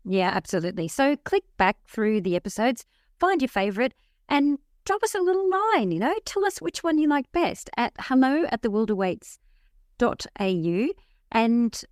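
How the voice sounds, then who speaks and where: A woman co-host delivers a cheerful promo for the show. Her voice sits on the high side and swings up and down animatedly. The recording is dry. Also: no room sound. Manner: bright and promotional. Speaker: podcast co-host. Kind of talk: scripted show outro.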